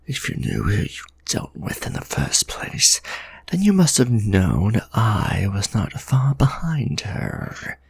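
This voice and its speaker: Vampire lord: man doing a protective aristocratic vampire, low, gravelly, slow, formal ASMR whisper. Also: wildly swooping pitch, no echo, exaggerated voice. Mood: menacing. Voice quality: gravelly, breathy. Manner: formal archaic speech, intense close whisper. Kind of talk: ASMR audio roleplay. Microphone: close ASMR microphone.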